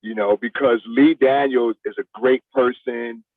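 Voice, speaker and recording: A man's voice: mid-range voice, steady tone, a little slow, dry recording, recorded from a distance, narrow, tinny audio. A middle-aged American man chatting casually as an interview guest, in a colloquial, conversational way. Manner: colloquial, addresses listener as "man".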